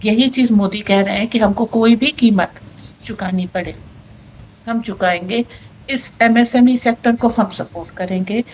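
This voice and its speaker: A woman giving an unscripted economic explainer, halting and correcting herself, dropping in English terms. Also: on the high side, a little mellow, poor audio. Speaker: current affairs commentator.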